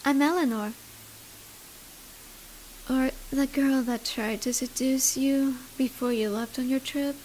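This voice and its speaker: A woman acting an obsessive, possessive scientist in an audio roleplay, coaxing the listener with drawn-out words and breathy drops toward a whisper. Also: sits high, a little mellow, slight background noise, rough recording.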